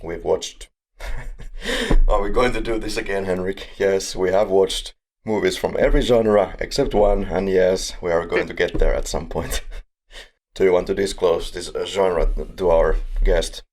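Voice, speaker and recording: Very deep-voiced podcast host. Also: male voice, measured pace, darkish tone. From Finland. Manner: upbeat, joking, laughs between lines. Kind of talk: casual chat.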